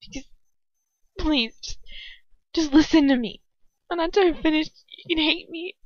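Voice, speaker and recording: A woman playing a desperate young woman in a story, pleading urgently and haltingly, near tears. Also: a little high, lively intonation, no room sound, dull audio, voice pushed up. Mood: tearful pleading.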